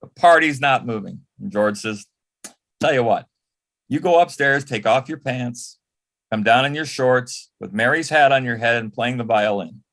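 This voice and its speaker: Older man as a panel guest, mid-pitched, swapping fond old anecdotes in a conversational, halting way. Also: a bit bright. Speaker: panel guest, former celebrity assistant. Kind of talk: casual anecdotal storytelling on a panel.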